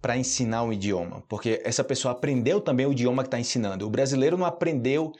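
A man's voice: medium pitch, quick pace, darkish tone. A Brazilian man hosting a podcast that teaches Portuguese, talking casually and checking in with his listeners often. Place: indoors, hot room.

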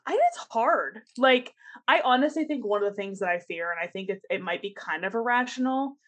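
A woman's voice: fairly high pitch, lively intonation, a little fast, a bit bright. A young woman co-hosting a casual chat, telling stories with lots of laughter and filler words. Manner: chatty, storytelling, laughs often. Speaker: co-host.

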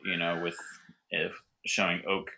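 A man's voice: low-pitched, completely flat delivery, unhurried, pushed and bright, a little hiss or hum, poor audio. A man with a stutter, a winemaker guest talking in a casual podcast interview, hesitant, with restarts and repeated words.

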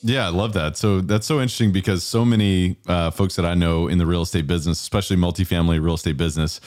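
Deep-voiced guy hosting a podcast, asks long rambly questions, excited. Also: fast talker. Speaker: podcast host. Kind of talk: interview questions.

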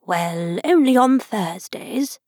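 A woman's voice: medium pitch, expressive, slow, a little mellow, no echo, clean studio sound, pitched above the performer's own voice. A woman voicing a vague, rambling old storybook queen, muttering absently as if to herself. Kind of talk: storybook character reading.

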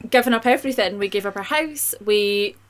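A woman's voice: medium pitch, a little fast. Chatty Scottish woman. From Scotland. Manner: chatty storyteller, frank and cheerful. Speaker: podcast guest, mindset and business coach. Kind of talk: casual interview chat.

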